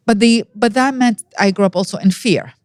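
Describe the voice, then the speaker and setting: A woman in her fifties from Iraq with an Iraqi accent, telling personal stories as an interview guest in an animated way. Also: mid-range voice, normal speed, dry recording, clean studio sound. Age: 50s. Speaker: podcast guest.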